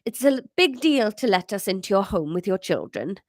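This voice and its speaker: A woman's voice: medium pitch, sing-song, a little fast, dry recording, studio quality. A woman voicing a polite, grateful man with a Welsh accent.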